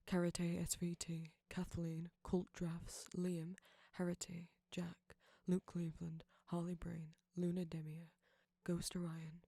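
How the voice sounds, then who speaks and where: Husky man, evenly pitched. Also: warm tone, no echo. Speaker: audio roleplay creator. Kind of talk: scripted sign-off to listeners.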